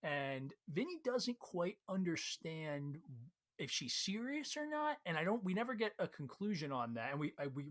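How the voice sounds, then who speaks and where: A man giving a casual, conversational recap of a story as a read-along host, retelling it in an animated way. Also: a little high.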